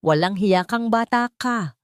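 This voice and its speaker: A woman's voice: mid-range voice, measured pace, smooth, no room sound, clean studio sound, voice pushed up, lightly acted. Woman voicing a menacing, smug villainous uncle. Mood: furious. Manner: smug, taunting, remorseless. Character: cold, menacing older male villain, a scheming uncle.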